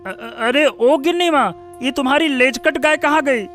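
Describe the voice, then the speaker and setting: An actor playing an earnest village cowherd and milkman in an animated moral story. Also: very high voice, unhurried, faint music behind. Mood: puzzled, alarmed. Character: earnest village cowherd, a male servant who delivers milk.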